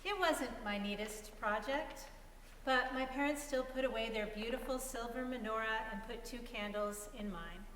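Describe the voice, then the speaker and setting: A woman narrates a scripted story in the first person. Her voice is fairly high, heard from a distance in an echoey space with faint ambient noise. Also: moderate pace, a bit bright. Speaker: reader in a dramatic story reading. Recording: echoey hall, distant mic, noisy ambience.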